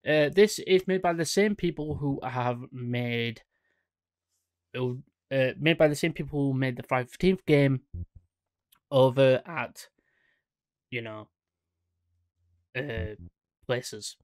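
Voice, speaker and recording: Slow-talking young man, rambling commentary. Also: mid-range voice, clear, resonant tone, dry recording, studio quality. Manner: rambling, self-correcting, many fillers. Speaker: horror channel host. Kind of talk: casual reaction commentary. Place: small room indoors at a desk. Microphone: studio mic on stand in front.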